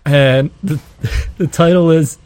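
A man's voice: on the high side, slow pace, background noise. A young adult man co-hosting a casual podcast, telling a story in a rambling way and laughing as he goes. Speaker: podcast co-host. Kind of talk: casual banter.

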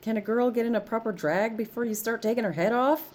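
A woman's voice: on the high side, fast talker, darkish tone, lightly acted. An actress playing a blunt, sarcastic young woman who loves to party, in a naturalistic audio drama. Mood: teasing. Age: young adult. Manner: sarcastic, teasing.

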